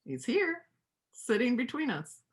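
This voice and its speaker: A woman's voice: on the high side, very animated, measured pace. A woman acting a lonely, plainspoken veterinarian and painter in a church reader's theater play. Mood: earnest, subdued.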